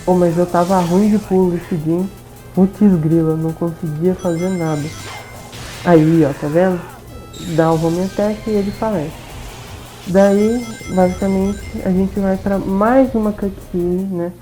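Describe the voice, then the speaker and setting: A woman's voice: on the low side, steady tone, unhurried, mellow, soft background music, low-quality recording. A Brazilian woman giving casual let's play commentary on a video game. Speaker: gameplay commentator.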